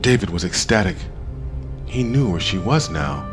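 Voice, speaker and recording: A man narrating an audiobook in a dramatic scripted style, expressive, with deliberate pauses. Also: lowish voice, over music.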